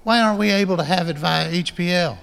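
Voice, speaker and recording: A man's voice: high voice, fast talker, vocal fry, fairly bright tone, smooth. A man playing a wary, irritated doctor putting pointed, sardonic questions to a rival in a radio play. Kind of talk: radio drama acting. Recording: long hall-like reverb.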